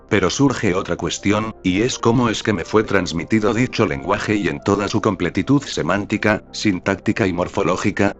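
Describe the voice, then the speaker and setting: A man hosting his own channel, reading a first-person essay aloud with formal, deliberate, literary phrasing. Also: on the low side. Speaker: channel host and author.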